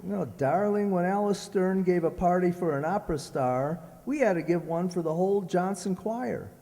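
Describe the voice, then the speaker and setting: A man's voice: high voice, expressive, normal speed, mellow. A man playing a sardonic, put-upon banker husband with dry exasperation in an old-time radio sitcom. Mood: exasperated. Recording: echoey hall, long reverb.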